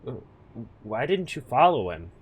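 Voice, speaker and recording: A man playing an exasperated but fatherly police chief in a comedic audio drama, speaking in a halting, folksy way. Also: medium pitch, sing-song, husky. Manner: halting, hesitant, folksy phrasing.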